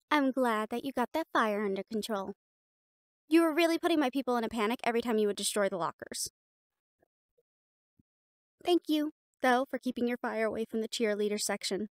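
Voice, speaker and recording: A woman's voice: on the high side, moderate pace, pauses often, dry recording, studio quality, close to an everyday voice. A woman voicing a snobby, catty teenage catgirl cheer captain in an audio roleplay, talking in a haughty, teasing and bossy way.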